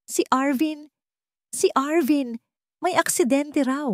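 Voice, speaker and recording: Panicky neighbour woman, played by a female narrator, urgent exclamations, raised pitch, dry sound. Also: slow, dry recording. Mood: panicked. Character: frantic, breathless female neighbour bringing bad news.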